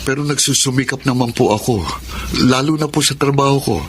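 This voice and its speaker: An actor playing a humble, poor young Filipino suitor, deferential and hesitant, pleading earnestly in a melodramatic radio drama. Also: male voice, mid-range voice, brisk, a little hiss or hum, natural voice.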